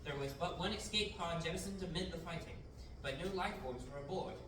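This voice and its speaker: A man's voice: a little high, fairly quick, close to an everyday voice. A man reading a dutiful imperial captain. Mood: reporting. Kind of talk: amateur table reading in Shakespearean verse. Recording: echoey room from far off, background noise.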